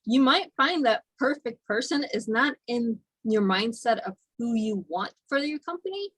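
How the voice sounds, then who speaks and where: A woman hosts a radio interview, making her points in short, direct, declarative sentences. Her voice sits a little high, and the recording is dry, with no room echo. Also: lively intonation, moderate pace, a bit bright, breathy edge. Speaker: radio show host. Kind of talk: conversational interview.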